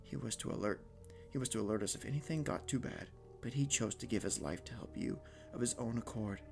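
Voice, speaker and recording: A man voicing a hushed, menacing spider monster. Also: mid-range voice, a little fast, vocal fry, faint music behind, lightly acted. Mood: calmly menacing. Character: hulking male spider monster, calm, menacing.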